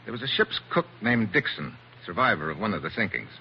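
An actor playing a tough, wry American special agent in a terse 1940s radio drama style. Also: male voice, lowish voice, very animated, fairly quick. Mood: cool accusing. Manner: hard-boiled, terse, wry. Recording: muffled, hissy 1940s radio recording.

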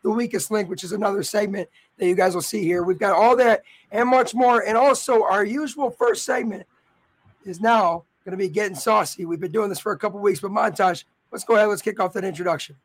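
A man with a raspy voice hosting a live sports show, talking upbeat and straight to his viewers. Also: high voice, a little fast, low-quality recording. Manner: upbeat and promotional, addressing viewers directly. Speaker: host.

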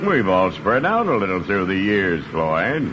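An actor playing a slow, good-natured small-town police chief in a 1950s radio comedy. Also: male voice, low voice, big pitch swings, noisy background. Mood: easygoing. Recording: muffled old radio recording.

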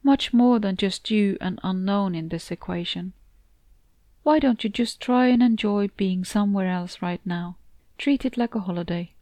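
A woman narrator puts on a talking male cat from a storybook. He speaks in a measured, gently hesitant way, calming and a little cheeky. The sound is dry, with no room to it. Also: mid-range voice, no room sound, lightly acted. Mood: calm, reassuring. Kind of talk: storybook character dialogue.

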